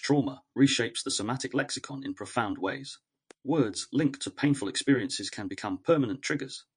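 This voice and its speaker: Male narrator, husky mid-pitched voice, for reflective educational essays; measured, earnest, deliberate phrasing. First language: English.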